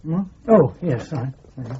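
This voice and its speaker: An actor playing an eager, casual junior intelligence assistant in a radio drama, with a husky, soft-toned voice. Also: male voice, a little high, animated, normal speed, lo-fi sound, a little hiss or hum.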